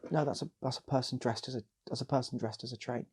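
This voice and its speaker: A man's voice: mid-range voice, fairly even intonation, fast, a little mellow, slightly rough. A man voicing puzzled station onlookers in a comic story, asking hesitant, baffled questions.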